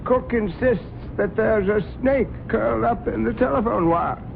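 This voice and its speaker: An actor playing a timid, deferential older butler with a gravelly voice, politely answering "sir". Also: male voice, high voice, normal speed, noisy background. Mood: meek. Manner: polite, meek, clipped "sir". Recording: narrow lo-fi old radio recording.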